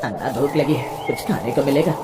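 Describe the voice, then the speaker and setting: A woman's voice: very deep voice, expressive, brisk, noisy background, pitched below the performer's own voice. A woman voicing a poor, grateful dwarf man, respectful and eager, in a cartoon tale. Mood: hungry, eager. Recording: reverberant hall-like sound.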